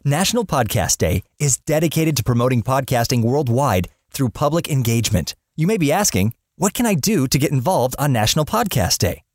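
Lively, dry-sounding male promo announcer. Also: mid-range voice, no room sound.

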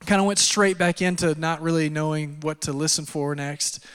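A man in his thirties from Texas, preaching a sermon and telling his own story in a relaxed, self-deprecating way with lots of fillers. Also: fairly high pitch, normal speed, smooth. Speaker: pastor. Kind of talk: sermon with personal testimony. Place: church auditorium. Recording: long hall reverb.

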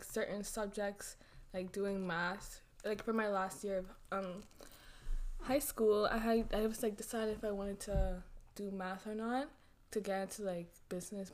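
A young woman in her twenties chatting casually as a podcast guest, rambling with lots of fillers and quick giggles. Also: mid-range voice. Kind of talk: casual chat.

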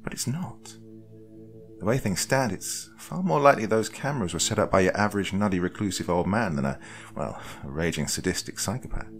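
A man voicing an earnest, sharp-minded young woman who questions insistently in a breathy way in a dramatic story. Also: deep voice, animated, a little fast, soft background music. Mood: urgent, suspicious.

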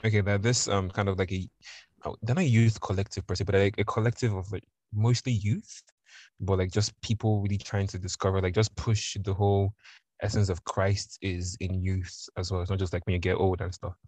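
A young Nigerian man with a fairly low voice, chatting casually on a podcast. He tells his story in an animated way and slips into mock voices for other people. The sound is close and dry, with no room around it. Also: fairly quick, dry recording. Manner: animated, acts out voices in quotes. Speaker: podcast guest.

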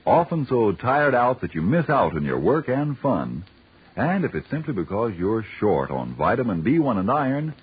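A man's voice: on the low side, husky. An American man as a 1940s radio announcer, reading an advertisement in an emphatic salesman's delivery. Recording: thin, tinny 1940s radio broadcast.

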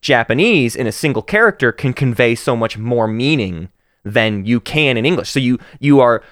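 A male podcast co-host talks through poems with lively, swinging intonation and frequent restarts. His voice sits at an ordinary pitch, recorded close and dry with no room sound. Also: no echo. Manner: animated, rising to excited emphasis, halting restarts. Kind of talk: casual book-club chat and poetry reading.

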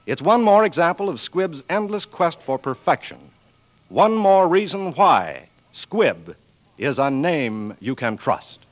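A man's voice: on the high side, big pitch swings, slow pace. A man with an American accent announcing from a script in a polished, emphatic 1940s radio style. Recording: muffled 1940s radio broadcast. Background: music.

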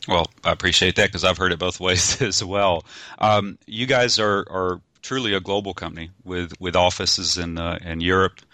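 A man interviewing a guest, asking measured questions with occasional fillers. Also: deep voice, steady tone, sharp tone, no echo. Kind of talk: business interview.

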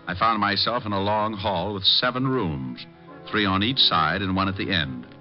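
A man playing a suave, wisecracking private detective in an old radio drama, glib, sardonic and quick-witted. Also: low-pitched, natural voice. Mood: wry narration. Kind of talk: 1950s radio detective drama acting, narration and dialogue. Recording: dull old radio recording, music behind.

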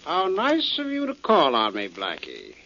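Smug suave gangster, sarcastic, highish swooping voice, 1940s radio drama, muffled old recording. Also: male voice, huge pitch swings, a little slow, over loud music, exaggerated voice. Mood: smug, mocking. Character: smug, mocking male gangster feigning innocence.

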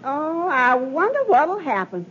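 An actress playing an excitable, fretful wife in an old radio comedy. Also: female voice, sits high, takes it slowly, heavily acted. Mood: dismayed. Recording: muffled old radio broadcast.

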